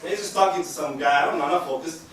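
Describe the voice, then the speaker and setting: A man's voice: a little high, very fast. A young man doing stand-up comedy on a university stage, rambling and self-mocking with lots of fillers. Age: young adult. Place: university stage with live audience. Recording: echoey hall, distant mic, loud noise.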